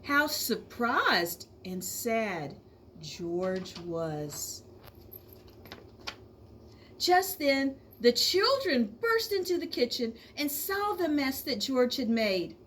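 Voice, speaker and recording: A woman reading a children's storybook aloud like an elementary teacher, speaking expressively to young listeners with drawn-out emphasis. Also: fairly high pitch, very animated, a bit bright, a little hiss or hum.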